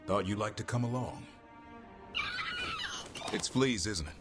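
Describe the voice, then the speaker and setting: A man's voice: on the low side, unhurried, music in the background, natural voice. An actor playing a skeptical, blunt male companion in a video game, with a dry, terse manner. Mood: dry, gruffly fond. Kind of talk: video game cinematic acting.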